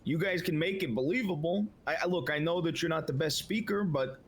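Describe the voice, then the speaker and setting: A man's voice: on the high side, brisk. Man, stammering restarts, blunt talk, casual swearing, faint hum behind him.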